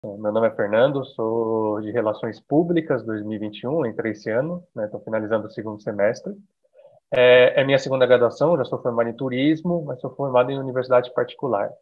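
A man's voice: mid-range voice, a little fast. A man in his thirties, a university student on his second degree, speaking plainly and matter-of-factly. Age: 30s. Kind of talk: testimonial interview. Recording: tinny phone-like audio.

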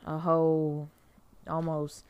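A woman hosting a casual chat, telling stories in an animated way and laughing often. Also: deep voice, slow, no room sound, muffled recording. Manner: animated storytelling, laughs a lot. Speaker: podcast host.

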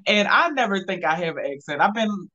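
A woman's voice: medium pitch, lively intonation, a little fast, bright, forceful tone, muffled recording. A woman in her thirties from Baltimore, with a Baltimore accent, chatting easily as an interview guest and laughing often. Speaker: guest, content creator. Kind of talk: casual interview chat.

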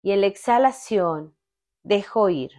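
A woman narrating a guided meditation in a calm, instructional manner with long pauses between short phrases. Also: medium pitch. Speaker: meditation guide, podcast host.

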